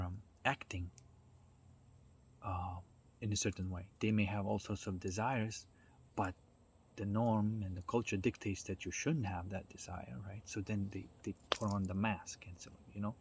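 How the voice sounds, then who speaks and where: A man giving an informal explanatory monologue, haltingly searching for his words as he goes. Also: lowish voice, a little hiss or hum. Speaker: speaker on self-development.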